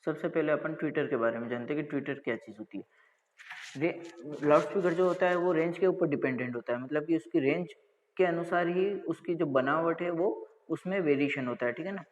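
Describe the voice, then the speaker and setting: A man's voice: on the high side, fairly quick. A male lecturer explains technical material didactically, asking and answering his own questions and often checking that listeners follow. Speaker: college lecturer. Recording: dull lo-fi audio with long reverb.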